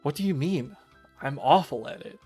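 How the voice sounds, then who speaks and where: A man's voice: a little high, lively intonation, normal speed, a little mellow, soft background music, natural voice. A man voicing a puzzled, self-deprecating young woman who works in a coffee shop, in a visual novel. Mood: baffled.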